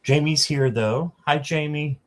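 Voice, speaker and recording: A man in his fifties hosting a casual livestream, greeting viewers by name and filling pauses with ums. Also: fairly high pitch. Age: 50s. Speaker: livestream host.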